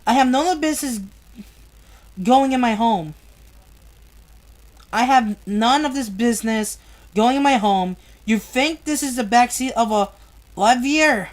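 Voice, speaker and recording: A woman playing a gruff old coal miner. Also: fairly high pitch, measured pace, long pauses, close to an everyday voice. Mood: scolding. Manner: scolding, blunt commands.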